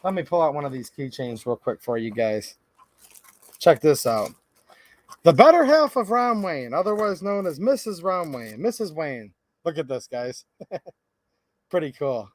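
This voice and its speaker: A man's voice: on the high side, lively intonation, a little slow, long pauses, dry recording. A man hosting a live stream, chatting casually and passionately with viewers and reading out their comments. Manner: passionate and folksy, talks straight to viewers. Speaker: livestream host. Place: home recording studio.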